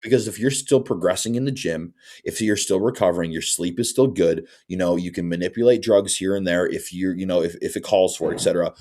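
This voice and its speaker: A man's voice: low-pitched, quick pace, dark tone. A man in his twenties, a bodybuilding coach, chatting casually on a podcast and often asking "you know what I'm saying". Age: 20s.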